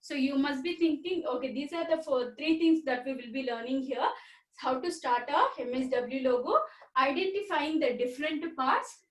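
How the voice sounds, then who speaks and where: A woman's voice: sits high, normal speed, rough recording. A woman teacher giving a computer lesson to children. She repeats key points, asks the class questions and has them say words along with her. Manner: repetitive, addresses children directly, asks questions. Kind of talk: recorded school computer lesson.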